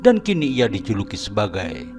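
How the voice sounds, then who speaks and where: A man's voice: fairly low pitch, wildly swooping pitch, fairly quick, darkish tone. A man narrating a horror cartoon in a scripted, dramatic storytelling manner. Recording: narration over background music.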